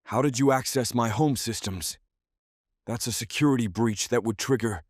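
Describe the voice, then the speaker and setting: A man voicing a guarded hushed young man. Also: mid-range voice, moderate pace, no echo, studio quality, pitched above the performer's own voice, lightly acted. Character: wary young man under house arrest.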